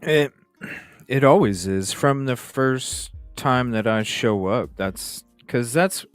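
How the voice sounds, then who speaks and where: A man's voice: mid-range voice, takes it slowly, clear, resonant tone, no room sound, faint music behind. A man hosting a true-crime stream, talking casually and conversationally in a rambling way, repeating himself for emphasis. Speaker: host of a true-crime livestream. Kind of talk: casual case discussion.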